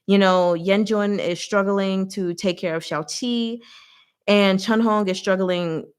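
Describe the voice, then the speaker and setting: A woman hosting a live recap in a casual, chatty, expressive style, talking to her audience like a fellow fan. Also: medium pitch, measured pace, smooth. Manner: chatty, expressive, giggly, slangy. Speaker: host of a live book recap and discussion.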